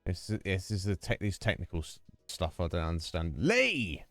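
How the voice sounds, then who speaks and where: A man's voice: sits low, huge pitch swings, moderate pace, creaky, fairly bright tone, dry recording, faint music behind. A man hosting a livestream, chatting casually and rambling, restarting his sentences as he goes. He talks into a desk microphone with a noise gate. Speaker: livestream host. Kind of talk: casual livestream chat to viewers.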